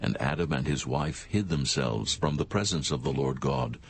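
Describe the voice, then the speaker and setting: A man narrating scripture in a formal, measured and solemn scripted style. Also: very deep voice, breathy edge.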